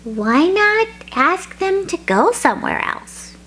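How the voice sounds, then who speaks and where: A woman reading a children's picture book, voicing a cheerful, matter-of-fact little girl pig with playful, drawn-out delivery. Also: very high voice, sing-song, a bit bright, a little hiss or hum, full character voice. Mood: helpful. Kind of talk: expressive children's read-aloud.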